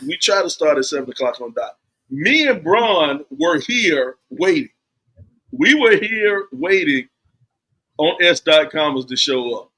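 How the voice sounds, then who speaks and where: A man hosting a casual podcast, teasing his friends and spinning drawn-out jokes. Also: fairly high pitch, expressive, pushed and bright, rough recording. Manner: playful, teasing, drawn-out storytelling. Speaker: podcast host. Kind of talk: casual joking banter.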